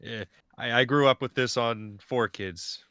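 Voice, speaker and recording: A man, guesting on a podcast with a bright, tense voice. His recording is dry and close. He rambles with lots of pauses. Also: mid-range voice, no room sound. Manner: hesitant, rambling. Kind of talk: casual podcast chat.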